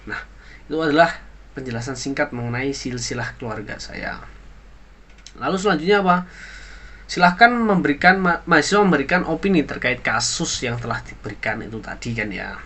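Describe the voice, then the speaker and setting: A man's voice: mid-range voice, pushed and bright. An earnest young man with a mid-range voice speaks for a class assignment. He moralizes with conviction but halts often, trailing into fillers and starting phrases over. A faint background hiss runs under the recording. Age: young adult. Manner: earnest, halting, many fillers and restarts, moralizing. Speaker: university student. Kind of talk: spoken class assignment monologue.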